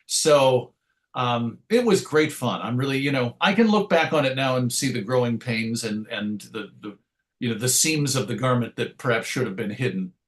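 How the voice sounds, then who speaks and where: A man's voice: mid-range voice, animated, moderate pace. A middle-aged man giving a casual interview, telling anecdotes in a chatty, wry storytelling way. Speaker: interview guest, comics writer and editor.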